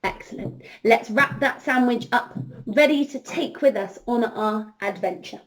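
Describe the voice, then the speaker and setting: High-voiced, unhurried woman telling children a story. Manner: expressive, encouraging, addressing children directly. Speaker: children's storyteller, princess entertainer.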